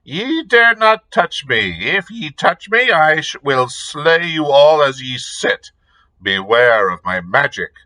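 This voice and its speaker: Ancient witch woman, shrill falsetto cackle, cruel and defiant, storybook reading, a man puts her on; slow and swooping. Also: sing-song, bright, forceful tone, no room sound, heavily acted, rough recording. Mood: menacing.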